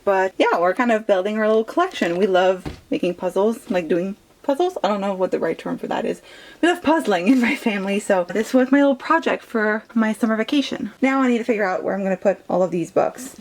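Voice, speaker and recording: A woman's voice: mid-range voice, expressive, a little fast, slight background noise. A young woman whose first language is French, chatting casually in a reading vlog and often saying "like". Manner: chatty, rambling, lots of "like". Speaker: vlogger.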